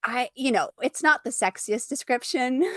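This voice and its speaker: A woman speaking as an interview guest, an artist chatting casually about her own work in an animated, informal, giggly way. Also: high-pitched, unhurried, no echo, studio quality.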